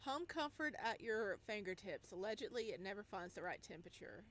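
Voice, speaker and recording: A woman doing playful, expressive gameplay commentary, slipping between reading text aloud and exclaiming in singsong asides. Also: medium pitch, brisk, slight background noise. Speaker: game streamer.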